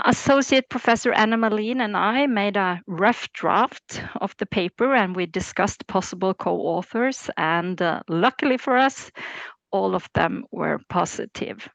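A woman in her forties from Norway with a Norwegian accent, a professor speaking as a podcast guest, careful and measured with frequent fillers. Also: medium pitch, fairly bright tone, no room sound, rough recording. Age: 40s. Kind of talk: podcast interview.

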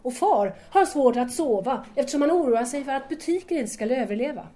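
A woman's voice: sits high, animated, dark tone. An actress playing an earnest, polite young woman in an audio drama, speaking in a formal, sincere way. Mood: troubled, earnest.

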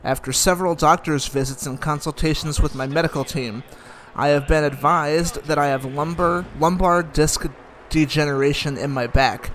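A man's voice: a little high, steady tone, moderate pace, hardly any pauses, a bit bright. A man giving casual watch-along commentary, matter-of-fact and thinking aloud, sometimes reading aloud. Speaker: reaction host commenting on a documentary.

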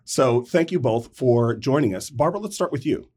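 A man's voice: mid-range voice, lively intonation, moderate pace, a little mellow, slightly rough. A man hosting a podcast interview, enthusiastic and welcoming toward his guests. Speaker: podcast host.

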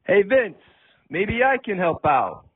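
A man's voice: high-pitched, sing-song, takes it slowly, husky, heavily acted. A man playing a laid-back, wisecracking singer-songwriter in a comic audio play. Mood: wry, helpful. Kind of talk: comic audio play, talk and parody singing. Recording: tinny, narrow phone-like sound.

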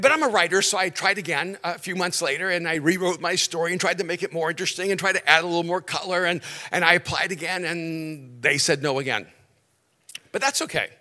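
Middle-aged pastor guy from el salvador, spanish his first language, highish bright voice, stretches words for punch. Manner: animated storyteller, stretches key words, dry jokes. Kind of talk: sermon with stories and jokes.

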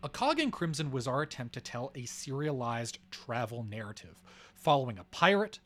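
A man's voice: mid-range voice, big pitch swings, normal speed, a bit bright. A man hosting a podcast, reading scripted narration as a writer presenting his work.

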